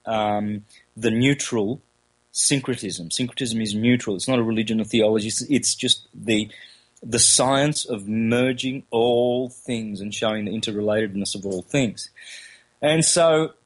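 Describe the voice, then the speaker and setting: Halting Australian man, interview guest. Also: fairly low pitch, rough recording. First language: Calabrian. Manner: halting, many fillers and restarts. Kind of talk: casual interview talk.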